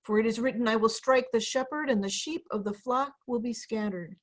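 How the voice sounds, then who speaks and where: A woman's voice: a little high, brisk. A woman pastor giving a dramatic scripture reading over a video call. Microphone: video call microphone.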